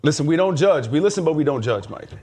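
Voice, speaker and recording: A man's voice: on the high side, lively intonation, fast talker, darkish tone, slight background noise. An American man bantering casually and playfully as a game show contestant.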